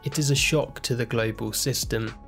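Male host reading a measured, earnest podcast opening over faint music. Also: mid-range voice, a bit bright. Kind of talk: scripted podcast introduction.

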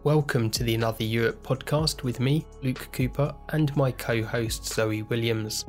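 A man hosting a podcast, reading a scripted introduction in a measured, earnest way. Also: medium pitch, faint music behind. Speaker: podcast host.

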